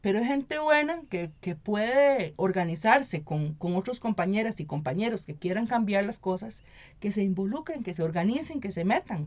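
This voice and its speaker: A woman's voice: mid-range voice, animated, moderate pace. A woman with a Costa Rican Spanish accent speaking as an activist guest in an interview, explaining things and often checking in with a short tag question. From Costa Rica. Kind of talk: conversational interview.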